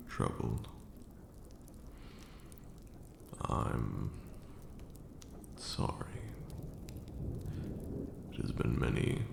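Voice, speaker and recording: An extremely deep, heavily creaking voice of an ancient vampire lord acted by a man, slow and formal. He is a stern but caring mentor in an intimate ASMR roleplay. The sound is echoey, with clear background noise. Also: full character voice. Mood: regretful, tender. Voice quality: gravelly, very deep. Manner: formal, archaic, measured phrasing. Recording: roomy echo with audible background noise.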